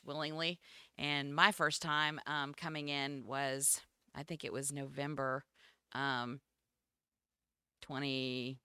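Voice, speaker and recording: A woman with a loud voice giving thanks at a community meeting, with many ums and pauses. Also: low-pitched, clean tone, dry recording, clean studio sound. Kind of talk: informal speech at a meeting.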